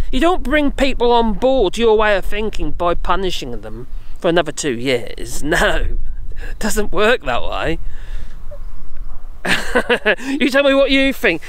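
A man's voice: sits high, bright, forceful tone, clear, resonant tone, low-quality recording. A man, chatting opinionatedly and digressing in a casual selfie vlog, recorded on a phone held at arm's length outdoors beside fields. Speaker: vlogger.

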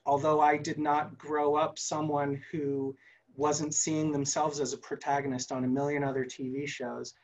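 A man speaking earnestly and haltingly in a panel discussion on a video call, drawing out phrases. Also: fairly high pitch, flat delivery, poor audio. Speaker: animation creator on a panel.

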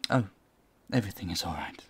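A man playing a courteous, charming vampire in an intimate audio roleplay, soft-spoken, breathy and soothing, often close to a whisper. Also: low voice, lively intonation, fairly quick. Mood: shy, tender. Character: gentle, courteous male vampire, charming and doting. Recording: faint ambient noise behind the voice.

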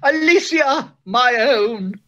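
A woman's voice: a little high, expressive, takes it slowly, a bit bright, dull audio, slight background noise. A woman playing a lovestruck, foolish male stage hero with overblown theatrical delivery in an old-style radio play. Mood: gallant, lovestruck. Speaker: actor. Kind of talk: 1930s radio acting.

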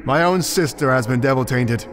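A man's voice: medium pitch, moderate pace, music in the background, natural voice. A man playing a cold, power-hungry cult prophet in a motion comic, speaking in a pompous, formal, commanding way. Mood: righteous anger. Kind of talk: motion comic dramatic acting.

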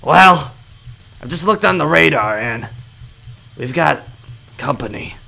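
Man playing an urgent, forceful young male ally. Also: fairly high pitch, expressive, slow pace, gravelly, soft background music, heavily acted. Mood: alarmed, urgent. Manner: urgent, instructing. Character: urgent young male tower-bound ally warning of invaders. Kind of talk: improvised video game roleplay.

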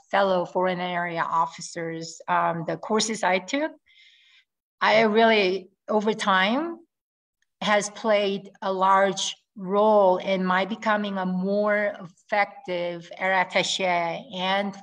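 A middle-aged woman with a fairly low voice, a native Korean speaker, talks earnestly over a laptop webcam call from a room at home. She pauses with frequent ums and restarts her phrases. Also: fairly even intonation, creaky, fairly bright tone, poor audio. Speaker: guest air force officer. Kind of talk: video call interview. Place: home room with ceiling fan. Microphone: laptop webcam on video call.

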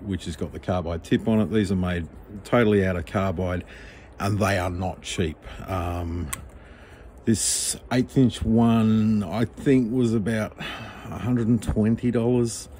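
A middle-aged Australian man, a knife maker, explaining his work step by step in a relaxed, practical way while he works at the bench. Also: lowish voice, unhurried, slight background noise. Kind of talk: workshop tutorial voice-over. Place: home workshop.

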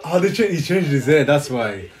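A young man giving excited, casual reaction commentary as a co-host, exclaiming and repeating his phrases. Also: a little high, normal speed, soft background music. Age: young adult. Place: small living room, seated on a couch.

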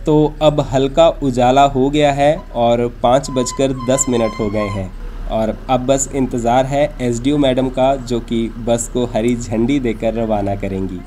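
A man's voice: medium pitch, warm tone. A man narrating a travel vlog from a script, explaining things in an informative, orderly way. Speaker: travel vlogger and host.

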